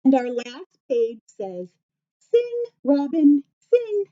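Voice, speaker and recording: An American woman teacher reads a children's book aloud at a slow pace. Her voice swings widely in a sing-song way as she puts questions to young listeners. The recording is slightly dull and lo-fi. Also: high voice, sing-song, mellow. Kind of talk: children's story read-aloud.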